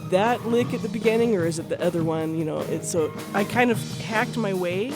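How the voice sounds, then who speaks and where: A woman's voice: mid-range voice, brisk, over loud music. A woman telling a personal story in a casual, candid, self-deprecating way, like an interviewee. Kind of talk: casual conversational anecdote.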